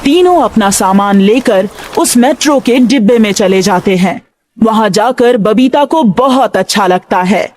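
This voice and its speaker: A woman's voice: mid-range voice, animated, a little slow, darkish tone. A woman narrator reading a scripted Hindi moral story. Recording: music behind the voice.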